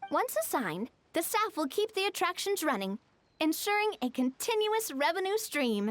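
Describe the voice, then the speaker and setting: A young noblewoman from a fantasy game, cheerful and poised. Her voice is high and swings melodically as she explains in polished, articulate sentences. Music plays behind her. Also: sing-song, moderate pace. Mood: businesslike, upbeat. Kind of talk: video game story dialogue acting. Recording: studio voice over game music.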